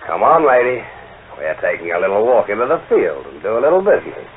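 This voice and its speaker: An actor playing a sneering, bullying American gangster in a tough, slangy 1940s radio crime drama style. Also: male voice, lowish voice, very animated, quick pace. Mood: menacing, gloating. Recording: tinny, noisy old radio recording.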